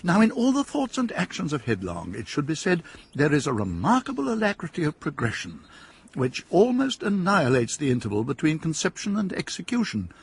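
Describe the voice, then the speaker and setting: A wry, arch narrator in a radio play, spinning wordy sentences with playful relish. His voice is husky and swings wildly in pitch. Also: huge pitch swings, fairly quick, full character voice. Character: wry, wordy male storyteller.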